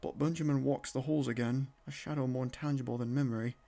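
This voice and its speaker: A man's voice: medium pitch, steady tone, fast, creaky, dark tone, close to an everyday voice. A man voicing a fevered, mournful painter reading a gothic journal theatrically, in an attempted British accent that slips into Scottish. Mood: anguished dread. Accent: attempted British slipping into Scottish.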